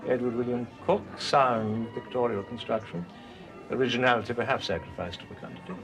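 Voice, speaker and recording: A man's voice: mid-range voice, a little fast, natural voice, poor audio. An actor playing a cultured, lecturing male art expert in a British TV drama. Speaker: gallery guide. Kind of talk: 1980s British TV drama acting. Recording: TV soundtrack with music underneath.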